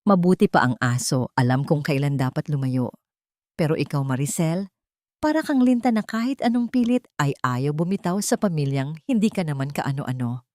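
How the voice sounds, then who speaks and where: A woman's voice: low voice, animated, moderate pace, a little mellow, dry recording, studio quality. A woman voicing a cruel, wealthy villainess who speaks with cold, threatening contempt. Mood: contemptuous.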